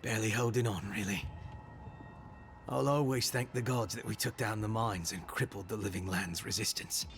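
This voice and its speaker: An actor playing a dying imperial loyalist in a game cutscene, bitter and defiant, with a strained, gravelly voice. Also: male voice, fairly low pitch, normal speed, fairly bright tone, faint music behind. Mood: sardonic, weary. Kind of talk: dramatic video game cutscene acting.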